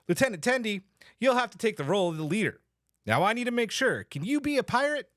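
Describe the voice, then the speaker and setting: A man plays a self-important officer from a sci-fi cartoon. His voice is notably high and swings expressively, in a dry recording of a table read. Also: big pitch swings, quick pace, a bit bright, no room sound. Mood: commanding. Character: self-important male commander, easily cowed. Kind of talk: amateur table read of animated sci-fi comedy script.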